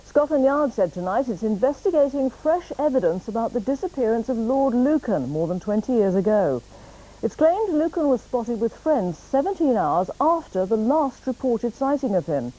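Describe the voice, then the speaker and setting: A woman with a British accent reading scripted news in a formal, measured way. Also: on the high side, big pitch swings, dark tone, low-quality recording. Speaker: newsreader.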